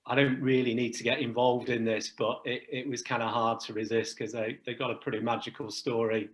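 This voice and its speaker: A middle-aged man on a video call, talking in a loose, self-deprecating manner. His pitch barely rises or falls and sits at an ordinary middle height. It sounds like relaxed conversation rather than a prepared speech. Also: fairly quick. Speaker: charity chair and guest. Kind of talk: casual video chat.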